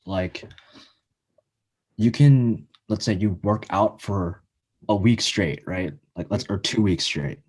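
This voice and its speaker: Deep, husky young man chatting casually. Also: a little mellow. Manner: halting, filler-heavy, earnest. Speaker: podcast guest, college student. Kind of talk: casual interview chat.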